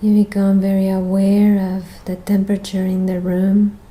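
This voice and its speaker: A woman leading a guided meditation as the guide, speaking in a gentle, soothing manner with long pauses between phrases. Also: medium pitch, completely flat delivery.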